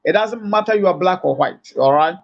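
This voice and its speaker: A man from Africa, calling in, who argues in a halting, lecturing way full of fillers and restarts. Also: high voice, expressive, creaky. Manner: hesitant, many fillers and restarts. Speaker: caller. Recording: muffled lo-fi call audio.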